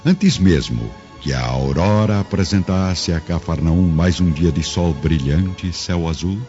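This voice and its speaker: A man with a very low voice narrates a radio drama with solemn, literary flair. His intonation is lively. The recording is dull, with prominent music behind him. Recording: muffled lo-fi recording under music.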